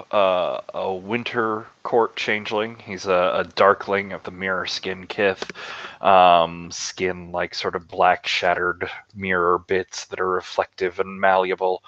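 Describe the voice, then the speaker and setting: Low-voiced player on a dull, dry mic. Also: male voice, no room sound. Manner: relaxed, joking, halting with fillers. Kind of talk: casual chat.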